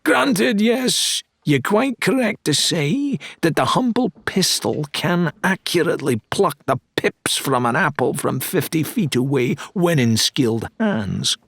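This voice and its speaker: Grandiose pirate salesman swindler for an audiobook, slow showy delivery, pitch all over, slightly husky. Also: expressive, a bit bright, dry recording, full character voice. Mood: grandiose, persuasive. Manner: theatrical, patter-like salesmanship with drawn-out flourishes. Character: grandiose, blustering male swindler of a pirate weapons dealer. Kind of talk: dramatised audiobook character dialogue.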